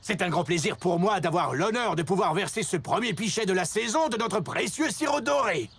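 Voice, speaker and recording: Pompous high-voiced mayor. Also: male voice, lively intonation, brisk, fairly bright tone, husky, exaggerated voice. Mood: proud, insistent. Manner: pompous, formal speechmaking. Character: pompous, ceremonious town mayor, a grown man. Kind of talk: children's cartoon dub. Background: music.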